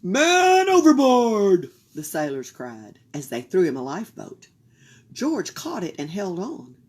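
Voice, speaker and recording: A woman reading a children's picture book aloud at a library storytime, with a lively voice that rises and falls a lot. She takes it slowly, her tone soft and breathy, and sometimes drops to a whisper for suspense. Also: low voice.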